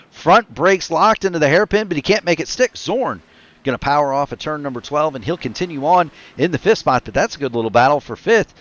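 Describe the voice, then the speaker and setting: A man calling a virtual stock car race as play-by-play commentator, quick and excitable on the action, his voice getting tired. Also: on the high side, dry recording, highs cut off. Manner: rapid excited play-by-play, self-correcting. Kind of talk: sim racing commentary.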